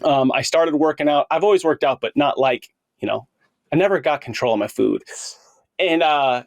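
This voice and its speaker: Earnest man in his late thirties, higher-pitched voice. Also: normal speed, vocal fry, no room sound. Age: late 30s. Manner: earnest, informal bro talk with slang. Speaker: podcast host. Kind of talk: casual conversational podcast interview.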